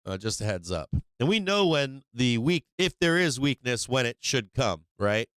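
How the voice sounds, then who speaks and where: A man's voice: mid-range voice, very animated, moderate pace, fairly bright tone, clean tone, no echo, rough recording. A man hosting a live stock market stream, casual and slangy, rambling with many fillers and restarts, saying he is losing his voice.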